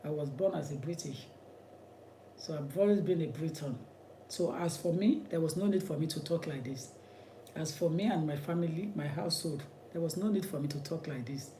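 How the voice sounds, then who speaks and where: A man's voice: a little high, normal speed, dark tone, a little hiss or hum, low-quality recording. A man with a Nigerian accent, an immigration lawyer giving impassioned commentary in an emphatic, repetitive, preacherly way.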